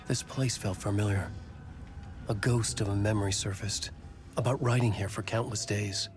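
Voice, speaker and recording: An actor playing a haunted, brooding writer, narrating a horror game in a grave, measured, introspective way. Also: male voice, fairly low pitch, steady tone, close to an everyday voice. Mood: haunted, uneasy. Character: haunted male writer trapped in a nightmare, brooding. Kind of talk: scripted first-person narration in a psychological horror game. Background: climactic cinematic music.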